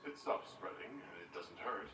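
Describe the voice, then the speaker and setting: A man's voice: low voice, lively intonation, moderate pace. An actor playing a reluctant, dryly witty male hero in a fantasy video game, with an understated delivery. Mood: earnest, dry. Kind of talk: dramatic video game dialogue. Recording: roomy, distant, noisy game capture.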